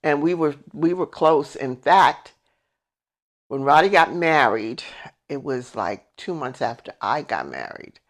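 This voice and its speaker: Animated elderly American woman, creaky voice. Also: sits low, unhurried. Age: 80s. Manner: animated, emphatic, acting out remembered dialogue. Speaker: grandmother telling family stories. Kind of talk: casual personal storytelling.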